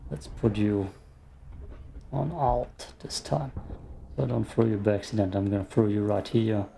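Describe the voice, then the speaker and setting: A man's voice: on the low side, steady tone, measured pace, gravelly, mellow, a little hiss or hum. A man with an Austrian accent casually commenting on a horror game as he plays, muttering frustrated asides to himself. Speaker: gameplay streamer. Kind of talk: casual let's play commentary.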